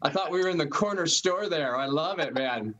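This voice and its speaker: A man's voice: fairly high pitch, animated, fairly quick, a bit bright. A male comedian, a guest on a podcast, telling stories in a jokey, chatty way. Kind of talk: casual interview chat.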